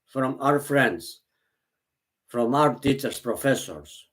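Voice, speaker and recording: A man whose first language is Greek talks to his viewers in English at a slow, deliberate pace, with a pitch that sits in the middle of the range. He keeps pausing, repeating words and starting his phrases over. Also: lively intonation, a bit bright. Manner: halting, repeats and restarts phrases, non-native grammar. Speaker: vlogger. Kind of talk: slow, earnest monologue to camera.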